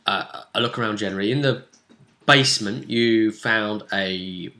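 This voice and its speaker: A man's voice: lowish voice, moderate pace, sharp tone. A man speaking as game master, casually recapping a tabletop roleplay session in a conversational, storytelling manner. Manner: conversational, storytelling, acts out brief character quotes.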